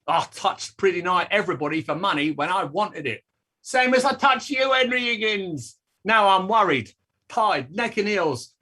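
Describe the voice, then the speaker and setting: Man playing a raspy, blustering old dustman. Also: high voice, pushed and bright, heavily acted. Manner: rambling, declamatory complaining. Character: blustering, aggrieved old working-class English dustman. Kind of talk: theatrical staged reading.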